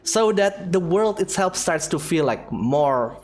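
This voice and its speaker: Very lively game streamer, casual commentary. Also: mid-range voice, a little slow.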